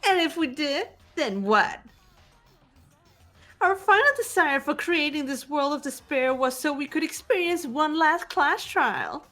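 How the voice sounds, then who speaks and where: A woman's voice: sits high, lively intonation, measured pace, a bit bright, faint music behind. A woman voicing a haughty, gloating young female mastermind in a fan-dubbed video game, grandiose and mocking. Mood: taunting.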